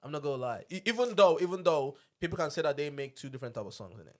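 Guy hosting a podcast, voice a bit high, slangy and emphatic, keeps repeating himself. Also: lively intonation, rapid-fire, a little mellow. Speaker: podcast host. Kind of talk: casual chat. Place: small indoor podcast studio. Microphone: close studio mic on boom arm.

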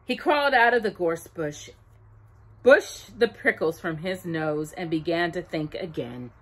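A young woman reading a children's story aloud at home, animated and sing-song, close to the camera. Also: on the low side, a little slow, breathy edge. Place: home room with bookshelves, indoors. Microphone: device camera close up.

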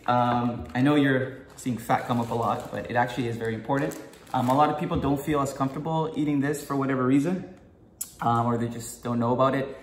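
Young male vlogger, chatty and upbeat, mid-pitched, roomy kitchen sound with faint hiss. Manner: chatty and enthusiastic, many "um"s. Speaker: food vlogger, guest host. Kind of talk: casual to-camera vlog. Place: home kitchen, indoors.

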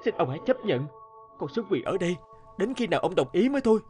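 A young scholar in a cartoon drama. His voice sits fairly high, earnest and humble, heavy with feeling and deeply respectful, with music underneath. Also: sing-song, fast, darkish tone. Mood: pleading, respectful. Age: young adult. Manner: earnest, deferential, emotional. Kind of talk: animated drama voice acting.

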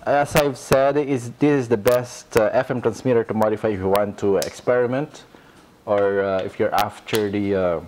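A middle-aged man casually demonstrating an electronics modification at home, talking hesitantly with many pauses. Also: fairly low pitch, steady tone, a little mellow, a little hiss or hum. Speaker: electronics hobbyist. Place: home room indoors.

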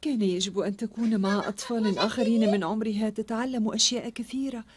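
A woman's voice: on the high side, fairly even intonation, fast, natural voice. A woman playing a worried, strict young mother in an Arabic cartoon dub, earnest and insistent. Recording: noisy old cartoon soundtrack.

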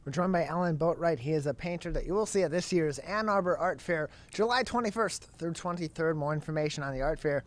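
Fast-talking young American male host. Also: fairly high pitch. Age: young adult. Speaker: talk show host. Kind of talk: formal broadcast presenting. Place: studio with curtain backdrop. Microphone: close studio mic on boom arm.

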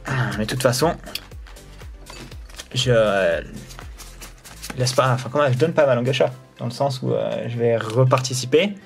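A young man talks in a loose, rambling way while opening card packs, with his voice in the middle range. He laughs easily and chats with a friend in a small room indoors. Music plays clearly behind him. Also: mid-range voice. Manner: chatty, rambling, quick laughs. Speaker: co-host. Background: faint music.

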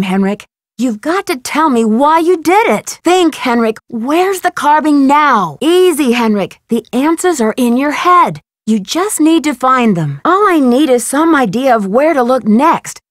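A woman playing a friendly, earnest, inquisitive young female detective in an adventure video game, coaxing and questioning with clear diction. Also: high-pitched, no room sound, studio quality. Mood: urgent, probing. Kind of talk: scripted adventure game dialogue.

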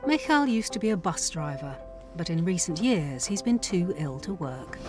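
A woman calmly narrating a documentary in a scripted, even way. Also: fairly low pitch, moderate pace, music in the background.

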